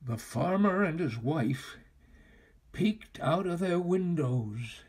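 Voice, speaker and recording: An older man reading a children's story aloud in an expressive, deliberate, dramatic way. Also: fairly high pitch, slightly rough. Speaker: storyteller, actor.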